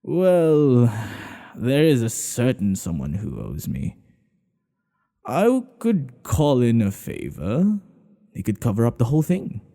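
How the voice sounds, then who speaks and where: A man playing a tender, devoted king in an intimate ASMR roleplay, speaking in an earnest, hushed, halting way. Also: mid-range voice, huge pitch swings, vocal fry, big-room sound, exaggerated voice. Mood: reassuring, devoted. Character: tender, devoted male monarch hiding a secret lover.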